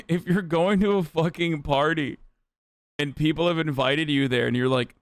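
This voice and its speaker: A man's voice: fairly high pitch, fairly even intonation, moderate pace, dry recording. A young man from Turkey doing casual livestream commentary, ranting loosely and swearing for emphasis. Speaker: streamer reacting to a video.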